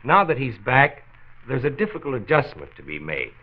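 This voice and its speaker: Earnest civic-minded doctor, calm n reassuring, thin tinny old radio drama sound. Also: male voice, mid-range voice, normal speed. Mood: reassuring, serious. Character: reassuring, civic-minded male county doctor, a measured persuader. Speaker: health commissioner. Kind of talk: mid-century radio drama acting.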